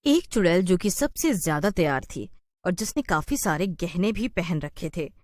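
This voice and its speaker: A woman's voice: lowish voice, big pitch swings, moderate pace, a little mellow, dry recording, clean studio sound. A woman giving scripted narration as the storyteller of a horror cartoon.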